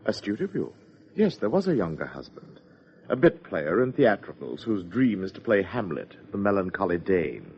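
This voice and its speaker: An actor playing a pompous, sardonic party host in a 1950s radio drama, wordy and theatrical. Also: male voice, deep voice, sing-song, moderate pace, slight background noise. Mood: disdainful. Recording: dull old radio recording.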